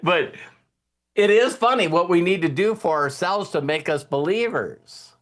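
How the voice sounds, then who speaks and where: A man with a slightly high voice speaks to a group as host of a motivational talk. His delivery is informal and conversational, and he often checks that listeners are following him. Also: lively intonation, measured pace.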